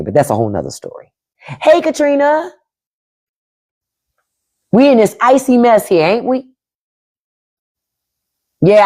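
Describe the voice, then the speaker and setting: Blunt, profane woman with a lively voice. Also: fairly low pitch. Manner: blunt, profane, emphatic, reads and answers chat. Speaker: podcast host on a live stream. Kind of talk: casual livestream commentary.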